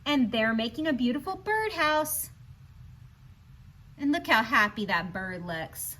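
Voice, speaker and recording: A woman with a high, very lively voice reads a storybook aloud for young children. Her intonation swings widely as she speaks straight to her listeners, posing questions and offering encouragement in asides. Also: a little slow. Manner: animated, questioning, encouraging, addresses listeners directly. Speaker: children's read-aloud presenter.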